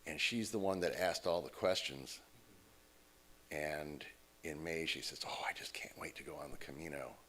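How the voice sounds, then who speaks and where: A man's voice: rumbling low, moderate pace, a bit bright, slight background noise. An American man speaking as a guest at a church service, telling stories in a conversational way. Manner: conversational, storytelling with quoted voices. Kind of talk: informal talk from the pulpit.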